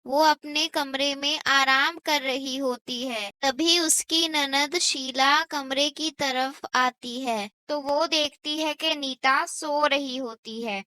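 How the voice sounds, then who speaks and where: Female cartoon-story narrator: scripted read, high bright voice, dry close sound. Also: steady tone, slow pace, few pauses, dry recording.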